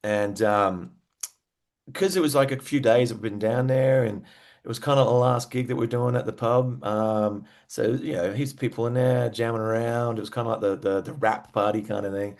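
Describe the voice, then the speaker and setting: A man's voice: medium pitch, fairly even intonation, moderate pace, darkish tone. A man with an Australian accent chatting casually as an interview guest, telling stories in a laid-back, slangy way with enthusiasm for music. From Western Australia.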